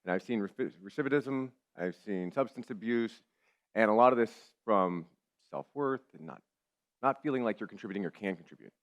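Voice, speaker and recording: A man speaking sincerely on a panel about mentoring others, in a steady, personal manner. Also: sits low. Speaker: panelist, corporate volunteer mentor lead. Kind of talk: panel discussion answer. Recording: dull lo-fi audio.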